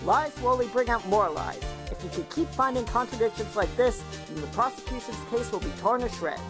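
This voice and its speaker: A woman's voice: fairly high pitch, expressive, brisk, over music, lightly acted. A woman voicing a shrewd, encouraging co-counsel, composed and advising, in a fan audio drama.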